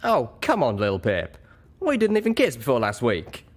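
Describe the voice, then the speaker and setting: A man's voice: medium pitch, huge pitch swings, moderate pace. A man voicing an easygoing, wry male pony companion with a folksy manner in a storybook reading. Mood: teasing.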